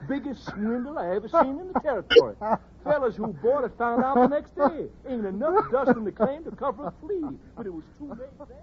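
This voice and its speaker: An actor playing an indignant, gossipy Old West yarn-spinner in a radio Western, folksy and emphatic with an American Western accent. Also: male voice, very high voice, lively intonation, a little fast. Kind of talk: 1950s radio Western acting. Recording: muffled, hissy 1950s radio recording.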